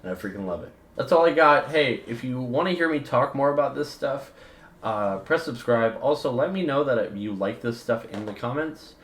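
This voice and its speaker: A man's voice: medium pitch, expressive, moderate pace, a bit bright. A man giving a casual, opinionated video essay, talking emphatically and informally with slang in a rambling way. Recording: roomy echo with background noise.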